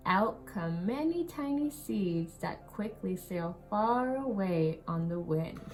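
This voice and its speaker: A woman reading a picture book aloud to young children in an expressive storytelling manner. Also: medium pitch, slow pace, faint music behind. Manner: expressive, with exclamations and questions to young listeners. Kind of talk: children's read-aloud narration.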